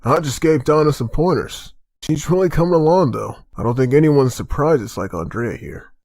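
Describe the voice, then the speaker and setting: A man plays a resolute leader of zombie-apocalypse survivors in an amateur comic dub. His mid-pitched voice swings widely as he gives orders, and the sound is dry. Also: lively intonation, normal speed, a little mellow, dry recording. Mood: calm, encouraging. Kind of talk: dramatic comic dub voice acting.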